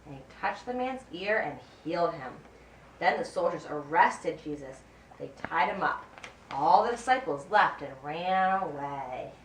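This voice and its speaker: A woman narrates a Bible story for a puppet show with deliberate, expressive reading. Her voice is on the low side and moves widely in pitch, picked up in a roomy space from a distance. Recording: roomy, distant mic, faint background noise.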